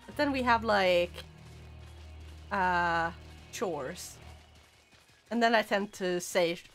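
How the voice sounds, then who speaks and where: A woman's voice: mid-range voice, slow pace, fairly bright tone, soft background music. A young woman from Sweden with a Swedish accent mixed with British touches, chatting casually while streaming a game and often laughing. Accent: Swedish with British, Scottish and Irish touches. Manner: giggly, often breaks into laughter. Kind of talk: casual live-stream chat while gaming, reading chat aloud.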